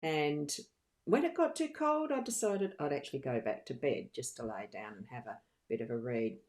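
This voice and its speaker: Very deep-voiced woman chatting on a livestream. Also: animated, normal speed, a little mellow. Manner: chatty, giggly, scattered, thinking aloud. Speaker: live streamer and card reader. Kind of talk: casual live stream chat.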